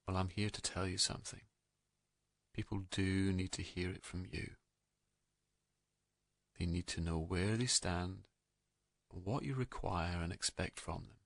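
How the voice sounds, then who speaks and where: A man narrating a guided meditation in a calm, gentle, soothing manner, leaving unhurried pauses between soft phrases. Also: deep voice, vocal fry, no room sound, clean studio sound.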